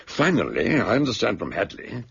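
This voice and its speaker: An actor playing a genial, shrewd older detective and storyteller in a British radio drama, with a husky, gravelly voice and an avuncular manner. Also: male voice, lowish voice, huge pitch swings, brisk, a bit bright, no room sound, muffled recording, heavily acted. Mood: teasing. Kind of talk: radio drama acting and narration.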